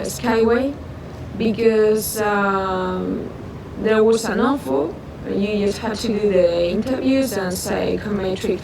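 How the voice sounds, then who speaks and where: A woman with a Spanish accent answering interview questions, haltingly searching for her words in English. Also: medium pitch, vocal fry, background noise. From Spain. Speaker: air traffic controller being interviewed. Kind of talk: unscripted interview.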